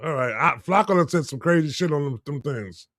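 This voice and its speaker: A man talks fast and bluntly in a podcast chat and keeps repeating himself. His voice sits a little high, and the sound is dry and close. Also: animated, a bit bright, no room sound. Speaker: podcast co-host. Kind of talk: casual chat.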